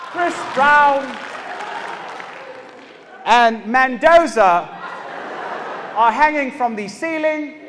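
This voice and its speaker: A South African man in his thirties doing stand-up comedy on a large theatre stage with a handheld mic, animated and acting out characters. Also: extremely high pitch. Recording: live hall with audience.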